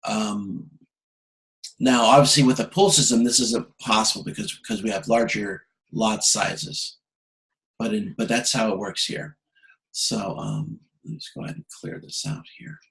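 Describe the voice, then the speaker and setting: A man giving an informal online lecture as a course instructor, halting and correcting himself as he thinks aloud. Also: lowish voice, fairly even intonation, creaky, a bit bright. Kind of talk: informal lecture explaining slides. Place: indoor room, online video call. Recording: echoey room on video conference.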